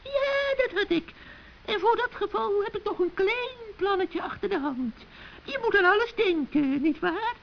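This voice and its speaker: A performer voicing a gleeful, scheming old witch in a children's radio play, shrill and cackling, with taunting mock sweetness. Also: lively intonation, unhurried, vocal fry, heavily acted. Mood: sly. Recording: dull, muffled old radio recording.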